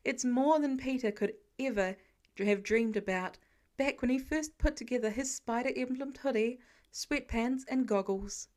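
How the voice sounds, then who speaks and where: Woman reading fanfic out loud like an audiobook, pretty high voice. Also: normal speed. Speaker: podfic narrator. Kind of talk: scripted story narration.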